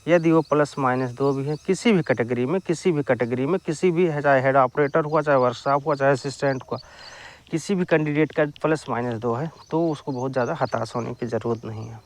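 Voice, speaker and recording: A man's voice: medium pitch, fairly quick. A man giving an informal explainer to viewers, repeating his phrases for emphasis. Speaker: exam-news YouTuber.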